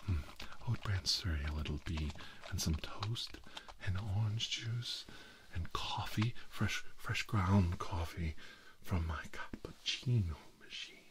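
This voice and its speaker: A man playing an unhinged, brooding middle-aged scientist villain in a whispered ASMR roleplay, muttering haltingly and repeating himself. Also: sits low. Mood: musing, fussy.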